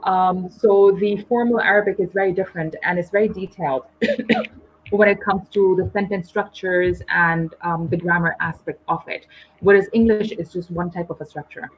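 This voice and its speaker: A woman hosting a live online English session, explaining patiently with frequent pauses and ums. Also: lowish voice, highs cut off, slight background noise.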